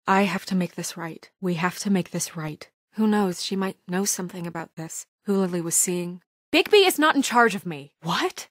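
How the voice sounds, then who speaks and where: Actress doing stern tired woman in charge, video game character, crisp n businesslike, mid pitch, super dry close sound. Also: no room sound, natural voice. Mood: shaken, subdued, then exasperated, angry. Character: composed, weary, stern female administrator, quietly troubled. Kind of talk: naturalistic video game voice acting.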